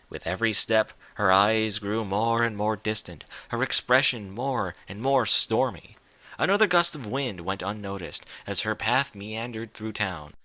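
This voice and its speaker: A man giving an expressive scripted narration of a story, as in an audiobook. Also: lowish voice, no echo.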